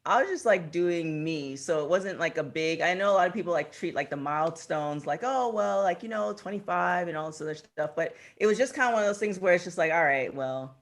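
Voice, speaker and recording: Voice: medium pitch, a little fast, hardly any pauses. A man in his thirties co-hosting a podcast, talking in a rambling way with lots of fillers. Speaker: podcast co-host. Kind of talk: casual podcast chat.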